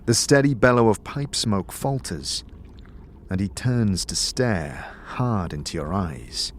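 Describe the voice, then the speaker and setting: A man's voice: lowish voice, animated, takes it slowly, slight background noise. A man narrating a fantasy story in a dramatic audio drama style.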